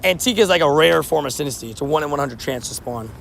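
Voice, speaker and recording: Man, streamer, slightly hoarse, gravelly and fairly high voice, chatty, faint street noise. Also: animated, rapid-fire, a bit bright. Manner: chatty, reads out and answers chat. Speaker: livestream host. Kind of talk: casual live-stream chat. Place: outdoors by a street with traffic.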